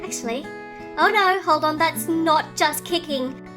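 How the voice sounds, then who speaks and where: A woman's voice: high-pitched, measured pace, music in the background. A woman voicing a dramatic, gushing, easily upset pregnant wife in a children's toy story. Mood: urgent, pained.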